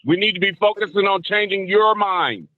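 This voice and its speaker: A man's voice: high voice, normal speed, no echo. A man on a panel, sarcastically heckling another speaker. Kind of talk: heated panel debate. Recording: tinny dry phone line.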